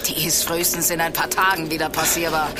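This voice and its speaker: An actress playing a wary, sinister village wife in an audio drama, with an ominous, unwelcoming delivery. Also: female voice, deep voice, little pitch movement, noisy background, lightly acted. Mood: curt, unwelcoming. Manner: slow, ominous, drawn-out delivery. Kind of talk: German audio drama acting.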